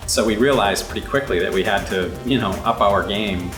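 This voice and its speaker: A man who designs video games, telling an anecdote briskly in an interview with quick asides. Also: fairly low pitch, reverberant, over music.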